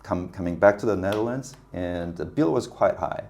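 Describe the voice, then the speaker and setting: Man, very deep voice, animated storyteller, laughs often. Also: moderate pace. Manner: animated, laughs often, acts out quoted dialogue. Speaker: guest, business consultant. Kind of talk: casual interview storytelling.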